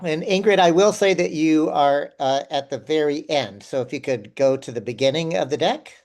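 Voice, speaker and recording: A man's voice: fairly high pitch, moderate pace, darkish tone. A man leading a participatory online workshop over a video call, inviting people in and thinking aloud with fillers. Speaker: workshop facilitator.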